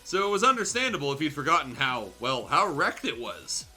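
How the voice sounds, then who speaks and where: A man's voice: fairly high pitch, very animated, brisk, sharp tone, soft background music. A man reading fan fiction aloud for comedy as a show host, breaking off into casual, irreverent, sweary asides.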